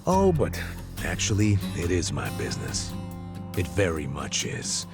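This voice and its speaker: An actor playing an aging detective near retirement, sly and persistent, with a gravelly, teasing manner. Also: male voice, sits low, wildly swooping pitch, measured pace, loud music behind the voice, full character voice. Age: older. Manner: teasing, chuckling, prying. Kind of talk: dubbed game cutscene acting.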